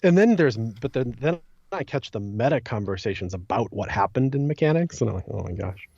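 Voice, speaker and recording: A man's voice: medium pitch, animated, brisk, vocal fry, a little mellow, husky. A man co-hosting a podcast in casual, conversational discussion with a self-deprecating manner. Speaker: podcast co-host. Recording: slightly dull lo-fi, roomy echo.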